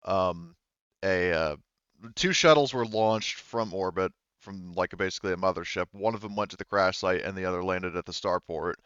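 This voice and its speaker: A man speaking casually and haltingly as game master, recapping and narrating a tabletop roleplaying game. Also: low-pitched, fairly bright tone, clear, resonant tone, dry recording, highs cut off. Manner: halting, hesitant.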